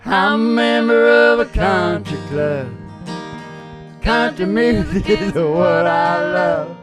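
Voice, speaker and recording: A woman with a lowish voice and an unhurried pace hosts a homespun country music show. She is loose and jokey, rambling along in a casual way, with guitar music around her. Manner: jokey, laughs through own remarks. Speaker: radio show host and country singer. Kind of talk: rambling casual banter between acoustic country songs.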